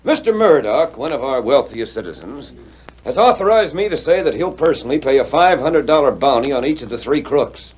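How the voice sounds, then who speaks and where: An actor playing a two-faced, crooked frontier lawyer in a 1940s radio western. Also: male voice, mid-range voice, lively intonation, brisk, a little mellow, slightly rough. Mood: persuasive, oily. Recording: dull, noisy 1940s radio recording.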